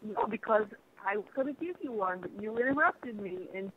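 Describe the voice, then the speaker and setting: A woman's voice: mid-range voice, lively intonation, fairly quick. A middle-aged woman chatting playfully and casually on a phone call. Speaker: mother taking a phone call. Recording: phone call with faint noise.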